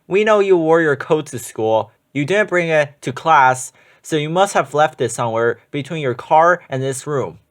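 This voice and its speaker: A man's voice: fairly high pitch, animated, normal speed, hardly any pauses, close to an everyday voice. Eager young schoolboy, read by a man. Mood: eager, helpful. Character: helpful, keen young schoolboy classmate.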